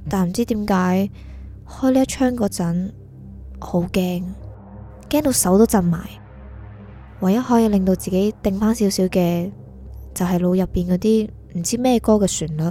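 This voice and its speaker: A woman's voice: mid-range voice, warm tone, natural voice. A woman playing a dutiful young soul prosecutor in a radio drama, clipped, rule-bound and defensive in manner. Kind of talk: Cantonese radio drama acting.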